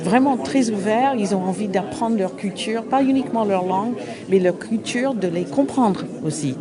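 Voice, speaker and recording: A middle-aged woman, an English speaker, answering interview questions at an open day, halting and searching for words with frequent restarts. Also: medium pitch. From United States. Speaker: association representative. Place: busy open-day event. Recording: crowd noise behind. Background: crowd chatter.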